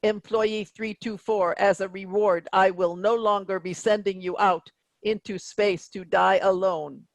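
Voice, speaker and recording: Actor doing bossy deadpan starship computer, formal, lots of fry, super dry audio. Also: medium pitch, measured pace, no room sound. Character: stern, sardonic autopilot captain computer of a spaceship. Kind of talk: virtual staged reading of a sci-fi comedy.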